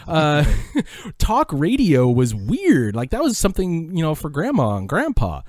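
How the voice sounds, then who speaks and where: A man's voice: a little high, huge pitch swings, measured pace, darkish tone, no echo, studio quality. A middle-aged American man giving reflective, enthusiastic answers as a podcast guest, speaking earnestly and with emphasis. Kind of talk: reflective, enthusiastic interview talk.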